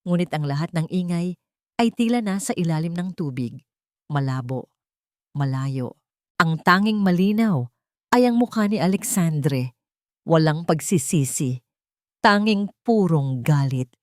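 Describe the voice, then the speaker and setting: A woman's voice: low-pitched, animated, unhurried, pauses often, a little mellow, no room sound, studio quality. A woman reading a scripted love story as a dramatic narrator.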